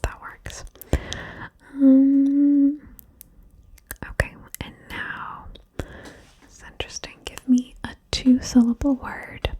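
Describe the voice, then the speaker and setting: Slow whispering woman. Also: high-pitched, little pitch movement. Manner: slow soft whisper, coaxing and encouraging. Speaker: ASMR host guiding the listener. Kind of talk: whispered ASMR word-game session.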